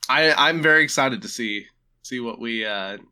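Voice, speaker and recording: A man hosting a casual podcast chat, stuffed up with a cold, talking in a relaxed, chatty way with frequent restarts. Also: mid-range voice, normal speed, clear, resonant tone. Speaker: podcast host.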